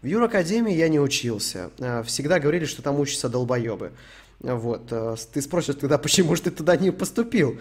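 A man's voice: a little high, expressive, brisk, breathy edge. A young man from Ukraine streaming, answering chat questions in a rambling, sweary, joking way. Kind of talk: casual stream Q&A.

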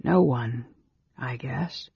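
A man voicing a frail, secretive young mage in a storybook, soft-spoken and halting, with an irritable snap. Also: mid-range voice, husky, lo-fi sound. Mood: dazed.

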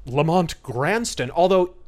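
A man's voice: on the high side, animated, unhurried. A man hosting a podcast and giving conversational, detailed film commentary with a wry manner. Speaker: podcast host.

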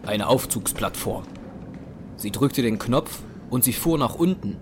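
A man's voice: mid-range voice, animated, unhurried, background noise. A man narrating a scripted German audio drama. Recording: music bed under narration.

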